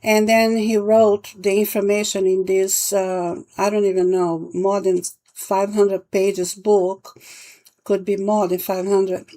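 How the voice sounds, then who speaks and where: A woman with a Brazilian accent, a native Portuguese speaker, talks at a slow pace in a mid-range voice. She explains things casually and haltingly, often checking in with the listener. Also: mid-range voice, flat delivery, mellow. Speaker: palmistry vlogger and teacher.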